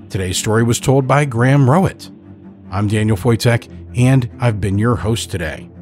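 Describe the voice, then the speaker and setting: A man with a medium-pitched voice reads scripted credits as a podcast host. He speaks in an announcer's outro style, with music underneath. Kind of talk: scripted closing credits read.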